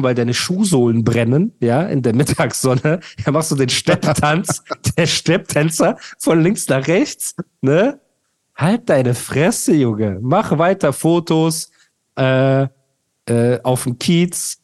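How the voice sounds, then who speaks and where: A man's voice: medium pitch, darkish tone. A young man co-hosting a podcast, ranting heatedly and trading insults while talking over his partner. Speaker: podcast co-host. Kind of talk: casual podcast chat and rant.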